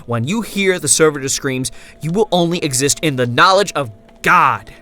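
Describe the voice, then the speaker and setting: A man's voice: on the high side, lively intonation, moderate pace, pushed and bright, pitched above the performer's own voice. A man voicing a stern, menacing cult preacher in a horror audio story, speaking in a commanding, solemn way. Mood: solemn, proclaiming.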